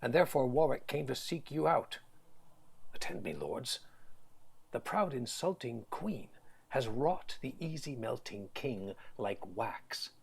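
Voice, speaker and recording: An actor playing a bold, warlike earl in a Shakespearean audio drama, declaiming resolutely in a gravelly, husky voice. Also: male voice, mid-range voice, very animated, a little slow, full character voice. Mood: rallying, commanding. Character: male warlike earl, bold, defiant kingmaker.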